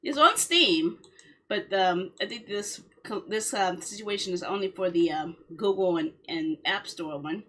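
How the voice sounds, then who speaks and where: A woman's voice: medium pitch, expressive, a little slow, sharp tone. A woman game streamer chatting casually on a livestream in a playful, rambling way.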